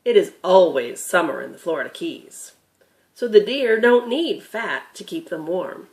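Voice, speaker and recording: Woman playing a patient, slow-talking storybook grandpa. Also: fairly low pitch, animated, a little mellow. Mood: patient, explanatory. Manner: emphatic, patient, instructive. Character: patient, knowledgeable grandfather explaining nature to grandson. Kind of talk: children's storybook dramatic reading.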